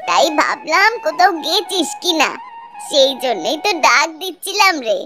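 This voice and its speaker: High-pitched, kindly old village grandmother. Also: big pitch swings, moderate pace. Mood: fond, spirited. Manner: folksy, fond, chiding. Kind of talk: Bengali cartoon voice acting. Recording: loud music behind the voice. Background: music.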